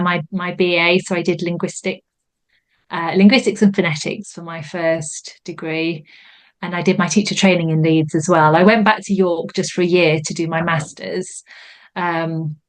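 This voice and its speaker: A woman, chatting as an English teacher and guest, enthusiastic and full of "you know" fillers. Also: on the low side. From Yorkshire, England. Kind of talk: casual conversation.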